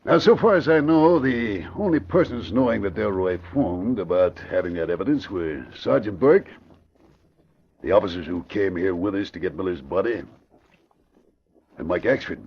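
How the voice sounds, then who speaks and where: A cautious police inspector from a vintage radio crime drama. The voice sits low and moves widely in pitch as he deliberates and hesitates. The recording is dull and old. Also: animated, slight background noise. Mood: suspicious.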